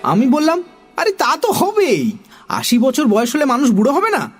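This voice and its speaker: Guy reading a kids story, super high voice, very swoopy and expressive, bit of music behind. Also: moderate pace. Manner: expressive, theatrical. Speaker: narrator, also voicing a young boy. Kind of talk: animated children's story narration.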